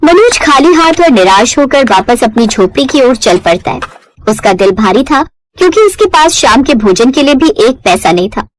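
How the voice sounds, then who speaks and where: A woman's voice: sits high, sing-song, normal speed, poor audio. A woman narrating a scripted moral story in a dramatic storytelling style.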